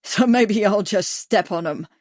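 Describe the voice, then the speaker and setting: A woman's voice: mid-range voice, measured pace, a little mellow, dry recording, clean studio sound, lightly acted. A woman voicing a burly, menacing man with a thick accent who snarls and growls threats.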